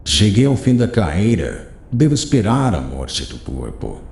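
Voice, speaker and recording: Elderly sage played by a man, measured and paternal, deep mellow tone, echoey room. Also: sing-song, creaky, full character voice. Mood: solemn counsel. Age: older. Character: old wise male rabbi, gentle teacher. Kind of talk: audiobook character reading.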